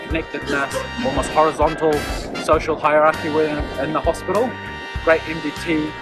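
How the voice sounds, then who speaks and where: A man, a junior hospital doctor, enthusiastically introducing himself in a promo video. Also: fairly high pitch. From New Zealand. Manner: enthusiastic, listing things he loves. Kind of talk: upbeat promo self-introduction. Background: guitar music.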